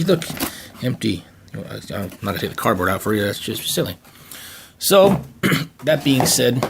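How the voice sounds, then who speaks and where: Man, raspy voice, casual unboxing talk, many ums and restarts, slight background noise. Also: medium pitch. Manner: joking asides, frequent fillers and restarts. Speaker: channel co-host doing an unboxing. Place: home gaming room.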